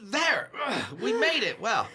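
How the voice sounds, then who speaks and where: This is a nervous, clumsy young fellow on his first date. He stammers and hedges with a bright, tense voice that leaps up and down. It is classic radio comedy acting. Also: sits high, wildly swooping pitch, slow, husky, full character voice. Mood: flustered. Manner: stammering, flustered, constant "uh".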